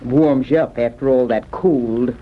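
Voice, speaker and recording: An actress playing a homespun, chatty, kindly frontier wife with folksy rustic phrasing in an old-time radio drama. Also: female voice, extremely low pitch, measured pace. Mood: cheerful, excited. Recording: muffled, noisy old radio recording.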